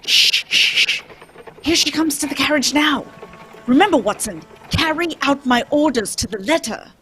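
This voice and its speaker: A self-assured, scheming gentleman sleuth from a radio play, voiced by a woman, high-pitched and animated. The manner is brisk and commanding, with music underneath. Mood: confident, conspiratorial. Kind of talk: old-time radio drama script read live.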